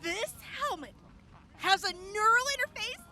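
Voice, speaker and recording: A bubbly gadget inventor from an audio drama. Her voice is extremely high and leaps about in pitch. She sounds eager, teasing and full of pep. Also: lively intonation, a little slow, pushed and bright, exaggerated voice. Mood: excited. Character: peppy, excitable, teasing female gadget inventor.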